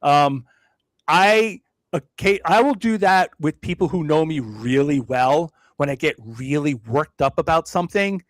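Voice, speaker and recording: Brief: reflective male guest from Brooklyn, slightly higher pitch, dry close sound. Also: no room sound. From Brooklyn, New York. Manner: measured, self-deprecating, reflective; frequent hedging fillers and restarts. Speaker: podcast guest. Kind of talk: casual interview conversation.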